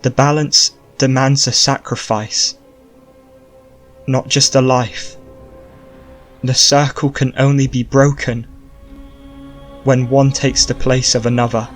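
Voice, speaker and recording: A man's voice: mid-range voice, flat delivery, faint music behind, natural voice. A man reading as a grave sorceress Morgana. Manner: slow, measured reading. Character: grave female sorceress explaining a curse.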